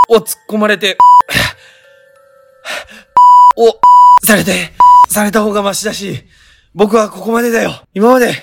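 Mild young man, high, creaky voice. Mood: resigned. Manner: mild, plain-spoken, bemused. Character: mild, resigned young male sightseer, comically naive. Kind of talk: comedic radio drama acting.

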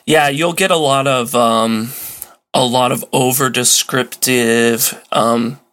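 A man with a mid-range voice chats as a podcast guest. He explains things like an enthusiast, casually and with animated, joking ease. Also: mid-range voice, slow pace. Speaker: podcast guest, old-time radio enthusiast.

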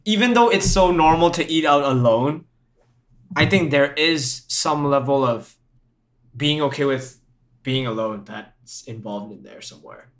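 A man's voice: fairly high pitch, measured pace. A young man hosting a casual podcast chat, laughing often and repeating himself as he talks. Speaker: podcast host.

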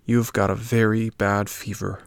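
A man doing a sighing little female marmot from a storybook, grave and gentle. She speaks slowly and softly, with a gravelly rasp. The sound is close and dry. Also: lowish voice, flat delivery, no room sound. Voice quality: slow, grave, soft.

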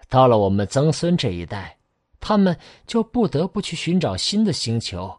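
A man's voice: medium pitch, darkish tone, no room sound, studio quality. An actor playing an optimistic, reassuring father on a space voyage in a sci-fi audio drama, explaining and consoling.

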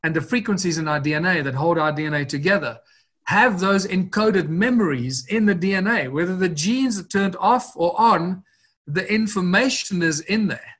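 A man's voice: sits high, lively intonation, moderate pace, a bit bright. A man guest in an interview, speaking earnestly and expansively, often restarting and repeating words. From Southern Africa. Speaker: interview guest, researcher of ancient ruins. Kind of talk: long-form podcast interview.

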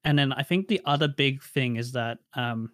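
A man's voice: medium pitch, moderate pace, fairly bright tone, dry recording, studio quality. A young man with an Australian accent, answering interview questions in a casual, reflective way with many fillers.

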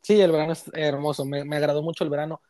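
A man's voice: fairly high pitch, level tone, rapid-fire, a little mellow, no echo, studio quality. A man in his late twenties from Chiapas with a Mexican accent, chatting informally as an interview guest. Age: late 20s. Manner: easygoing, informal, uses slang. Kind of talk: casual interview chat.

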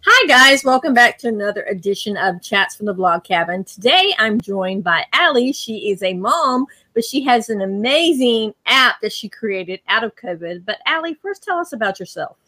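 A woman's voice: on the high side, lively intonation, normal speed, few pauses, pushed and bright. A woman hosting a podcast, speaking in an upbeat, chatty way and addressing her listeners directly. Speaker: podcast host. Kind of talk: podcast intro and interview hosting.

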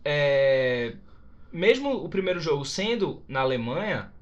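A man's voice: a little high, big pitch swings, unhurried. A man with a Brazilian accent discussing football casually as a podcast panelist. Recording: slightly dull, lo-fi sound.

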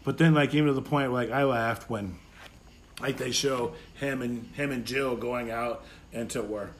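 A man's voice: medium pitch, fairly even intonation, moderate pace. A blunt, sweary man you'd hear on a homemade politics podcast, repeating his point over faint room noise. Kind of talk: casual political chat.

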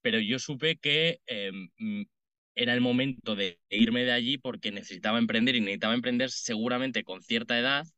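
A man's voice: mid-range voice, fairly quick, bright, forceful tone, clear, resonant tone, no room sound, highs cut off. A man in his late thirties with a Madrid Spanish accent, talking informally and conversationally as an interview guest. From Madrid, Spain. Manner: informal, colloquial. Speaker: podcast guest, entrepreneur.

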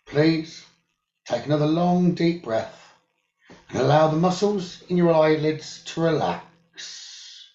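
A man's voice: fairly high pitch, animated. Man guiding a meditation, slightly muffled recording. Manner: measured, hushed delivery in short phrases with pauses. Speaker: meditation teacher leading a guided meditation. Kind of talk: slow guided meditation, soothing instruction.

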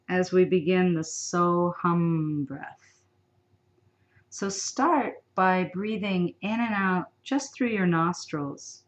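A woman leading a guided sleep meditation, giving soft, soothing instructions with long pauses. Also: on the low side.

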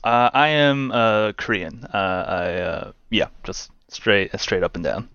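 Low, smooth-voiced man, wry and rambling. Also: lively intonation, slow pace, fairly bright tone, no echo, dull audio. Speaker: guest, games news editor. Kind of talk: casual conversational interview.

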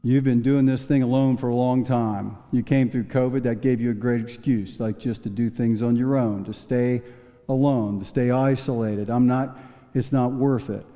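A male pastor preaching a sermon in a folksy storytelling manner, stumbling into restarts and chuckling now and then, with a voice he says is going hoarse. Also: mid-range voice, fairly even intonation, normal speed. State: losing his voice. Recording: dull long church reverb.

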